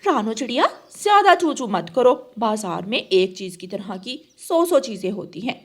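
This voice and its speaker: Lady doing a bossy cartoon crow mom, high voice, sharp tongue, scheming. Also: very animated, moderate pace. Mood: angry, threatening. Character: scolding, scheming mother crow, brazen and bossy. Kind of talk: children's cartoon character voice.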